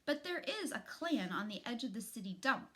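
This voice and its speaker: A middle-aged woman reading a children's storybook aloud in an expressive, dramatic, deliberate way. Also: on the high side. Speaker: teacher reading aloud to students. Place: small furnished room at home, indoors.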